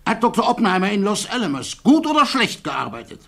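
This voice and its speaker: Gravelly, probing defence attorney. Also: male voice, high-pitched, huge pitch swings, fairly bright tone, heavily acted. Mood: pressing. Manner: pointed, deliberate questioning. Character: probing, deliberate male defence attorney in cross-examination. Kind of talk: radio play acting, courtroom questioning.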